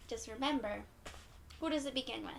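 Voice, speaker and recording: A woman reading a picture book aloud to young children in a gentle, encouraging way, speaking directly to them. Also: a little high, fairly quick, creaky, a little hiss or hum. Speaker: children's read-aloud storyteller.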